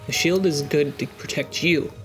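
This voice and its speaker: A game master voicing a steady, guarded young male soldier who speaks in short, clipped sentences. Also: mid-range voice, normal speed, soft background music, lightly acted, low-quality recording. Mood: terse.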